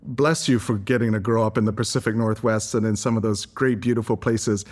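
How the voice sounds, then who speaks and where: A man's voice: lowish voice, fairly even intonation, fairly quick, a little mellow. A man from Singapore giving earnest, articulate, reflective answers as an interview guest. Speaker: interview guest, environmental advocate.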